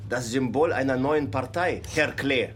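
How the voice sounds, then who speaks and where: An actor playing an alarmed art professor in a radio drama, warning emphatically with growing intensity. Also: male voice, medium pitch, a little slow, music in the background, lightly acted. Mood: grim, intensifying.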